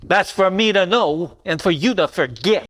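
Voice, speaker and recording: A man playing a blunt, menacing 1940s gangster thug in a radio noir parody, using rough tough-guy slang. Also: sits high, lively intonation, normal speed, fairly bright tone. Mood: menacing, suspicious.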